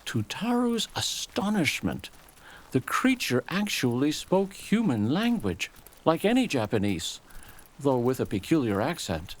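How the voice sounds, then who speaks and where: Slow-paced man narrating a story. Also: medium pitch, huge pitch swings, poor audio. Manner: measured, literary storytelling. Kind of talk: scripted dramatic story narration.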